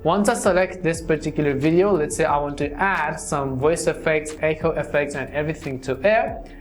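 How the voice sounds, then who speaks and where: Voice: medium pitch, moderate pace. Tutorial host narrating over music. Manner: wordy step-by-step instructional delivery. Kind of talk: scripted how-to tutorial narration. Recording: music behind the voice. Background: background music.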